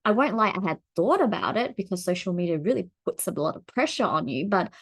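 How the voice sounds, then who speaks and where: A woman in her forties vlogging to camera in a casual, chatty way, laughing often, with her pitch swinging up when she gets excited. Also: a little fast, husky. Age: 40s.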